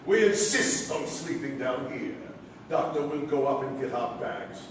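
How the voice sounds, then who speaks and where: A man's voice: mid-range voice, expressive, lightly acted. Man as a menacing villain brother, very echoey. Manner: deliberate, threatening, taunting. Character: menacing, sadistic criminal brother, coldly threatening villain. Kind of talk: comic stage play acting. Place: small theatre, live performance. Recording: echoey, noisy stage recording from far off.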